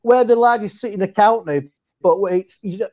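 A man with a West Yorkshire accent, a podcast guest chatting casually, with bursts of quick talk and frequent restarts. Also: high-pitched, lo-fi sound. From West Yorkshire, England. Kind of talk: casual chat.

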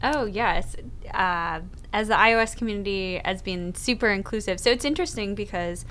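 A woman talking as a podcast guest, chatty and quick to giggle. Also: mid-range voice, a bit bright, slight background noise. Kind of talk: casual chat.

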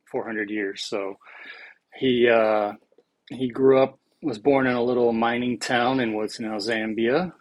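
A man's voice: mid-range voice, no echo, low-quality recording. A man in his forties who grew up in South Africa, talking casually as a podcast guest, reflective and laughing often. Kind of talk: casual conversation.

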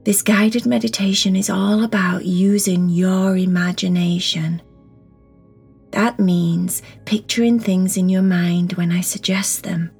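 Female meditation guide for children: hushed, husky-breathy delivery with a creaky edge, gentle and reassuring. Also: on the low side, little pitch movement, a little slow. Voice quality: soft, breathy, often near a whisper. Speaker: guided meditation teacher and host. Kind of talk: children's guided meditation, slow soothing instruction. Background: soft background music.

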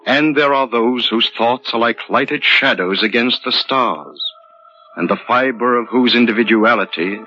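Slow, grave mystery narrator, old radio. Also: male voice, fairly low pitch, husky, full character voice. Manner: grave, slow, theatrical, poetic. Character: solemn, mysterious male narrator of eerie tales. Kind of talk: 1940s radio horror narration. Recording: muffled 1940s radio broadcast. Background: loud dramatic music.

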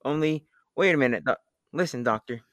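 A man's voice: mid-range voice, animated, a little fast, fairly bright tone, dry recording, lightly acted. A man acting a worried, hesitant, plain-spoken spaceman sidekick in an old-time radio drama. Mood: hurried. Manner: hesitant, stammering.

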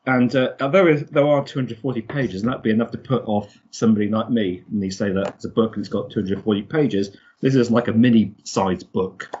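A man's voice: fairly low pitch, fairly quick, hardly any pauses, darkish tone, breathy edge, highs cut off. A man co-hosting a podcast with a casual book recap, hesitant and often searching for words. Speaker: podcast co-host.

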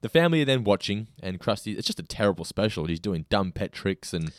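A man co-hosting a podcast, sounding stuffy from hay fever, in quick, lively casual chat. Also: lowish voice, dry recording, clean studio sound. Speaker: podcast co-host.

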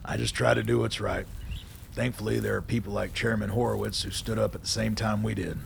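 A man playing a seasoned, plain-spoken army colonel in a radio drama, gravelly, measured and dryly understated. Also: lowish voice, level tone, a little hiss or hum. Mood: dry, relaxed.

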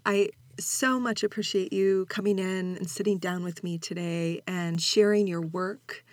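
A woman's voice: lowish voice, steady tone, a little slow, no echo. A woman hosting a conversational podcast interview in an encouraging, attentive manner.

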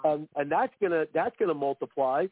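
Male caller, rambling casual chat, slightly high voice, dry tinny phone line. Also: a little fast, background noise. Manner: rambling, repeats words while talking.

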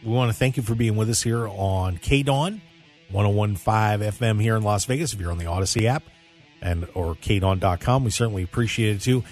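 A man's voice: fairly low pitch, fairly quick, a little mellow, faint music behind. A man hosting a sports talk radio show in a casual, conversational way, addressing his listeners directly.

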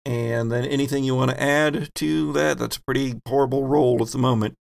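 A man's voice: medium pitch, steady tone, fairly quick, gravelly, no echo, studio quality. A man hosting a tabletop game as game master, explaining rules and narrating scenes conversationally with joking asides.

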